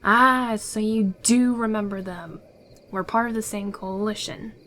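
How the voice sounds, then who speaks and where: A woman's voice: mid-range voice, steady tone, unhurried, a bit bright, slight background noise, natural voice. Woman playing a confident, secretive rebel guide. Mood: persuasive. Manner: assured, persuasive, theatrical delivery. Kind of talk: ASMR audio roleplay acting.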